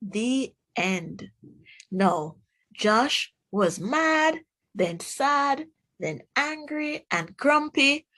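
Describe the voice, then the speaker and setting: Female storybook reader, higher-pitched, unhurried and expressive, teacher addressing young children. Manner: deliberate, expressive, addresses child listeners directly. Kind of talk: children's storybook read-aloud.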